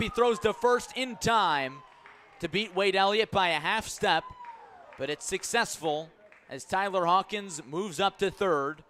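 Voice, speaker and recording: A man calling a baseball game live on radio as play-by-play announcer, measured between pitches and lifting his voice on the action. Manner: measured narration rising to excited shouts on key plays. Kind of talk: live sports commentary.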